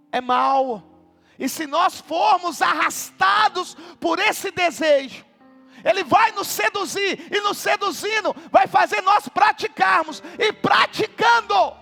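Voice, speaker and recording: A Brazilian man, a pastor, telling a story in a sermon and then preaching with rising passion, at times shouting. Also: very high-pitched, vocal fry, long reverb tail. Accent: Brazilian Portuguese.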